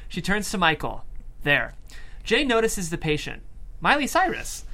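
Animated, creaky higher-voiced male narrator. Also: measured pace. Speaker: stage-direction reader. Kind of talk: scripted screenplay narration at a table read.

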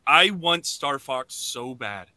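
A man's voice: medium pitch, animated, slow pace, sharp tone, no echo. A man co-hosting a podcast, speaking in casual chat. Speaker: podcast co-host.

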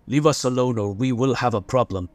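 A man's voice: mid-range voice, fairly quick, a little mellow, no echo, lightly acted. A man playing a blunt, sarcastic, hostile father in a sci-fi audio drama. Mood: defiant, angry. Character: defiant, gruff father in a space commune.

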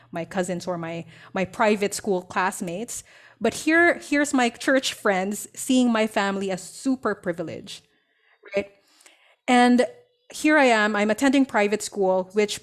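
A young adult woman from the Philippines, a business coach talking as a podcast guest, telling her story in a reflective way with many fillers and restarts. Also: mid-range voice. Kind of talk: casual interview storytelling.

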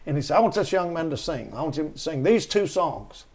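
A man's voice: on the high side, lively intonation, fairly quick, darkish tone. Folksy man telling stories. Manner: folksy, rambling storyteller with many restarts. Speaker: guest. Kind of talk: storytelling conversation.

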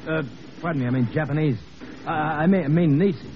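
A man playing a brash, sarcastic soldier with a gruff wisecracking manner in a 1950s radio drama. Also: fairly high pitch, brisk, lightly acted. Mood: sheepish. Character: brash, sarcastic, bigoted American army soldier. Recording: muffled, noisy old radio recording.

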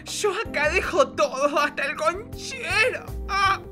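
Man doing a shrill, bright showgirl diva. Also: animated, slow, soft background music, exaggerated voice. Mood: whiny, melodramatic. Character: melodramatic, self-styled responsible showgirl diva, a woman. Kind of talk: campy comic radio play. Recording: clearly noisy background.

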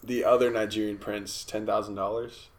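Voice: medium pitch, level tone, moderate pace, crowd noise. A podcast guest throwing in short jokey quips during casual banter.

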